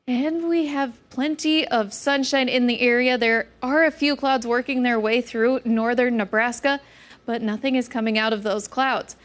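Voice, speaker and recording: A woman's voice: on the high side, fairly even intonation, normal speed. An American woman presenting a broadcast weather forecast on television.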